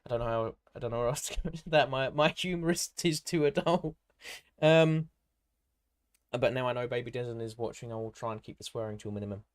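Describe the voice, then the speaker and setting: A man's voice: medium pitch, fairly quick. Man chatting casually on a livestream. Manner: rambling, hopping between comments, informal and matey. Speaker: livestream host and content creator. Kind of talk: casual live stream chat, answering viewer comments.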